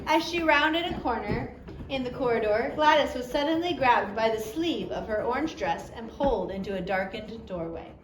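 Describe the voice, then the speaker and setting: A woman's voice: on the high side, expressive, normal speed. A woman narrating a story aloud as an audiobook reader. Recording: roomy echo, faint background noise.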